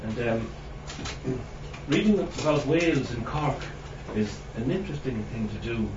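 A man who grew up in Ireland introducing his poems at a reading, halting, with many pauses and fillers. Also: mid-range voice, animated. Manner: halting, many ums, clears throat. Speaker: poet giving a reading. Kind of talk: hesitant spoken introduction to a poetry reading. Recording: distant mic, dull sound, roomy with noise.

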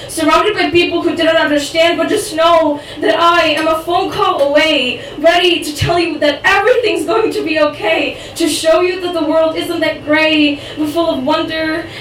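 A young woman from Croatia whose first language is Croatian, reading her spoken word poetry at an open mic in an earnest, rapid delivery. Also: high-pitched. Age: young adult. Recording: echoey room, distant mic, faint noise.

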